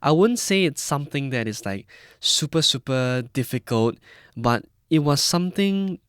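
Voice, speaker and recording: A man's voice: a little high, lively intonation, normal speed, clear, resonant tone, no echo, clean studio sound. A man in his early twenties with a Malaysian-Singaporean accent, telling personal stories as a podcast guest. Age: early 20s. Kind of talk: personal storytelling in conversation.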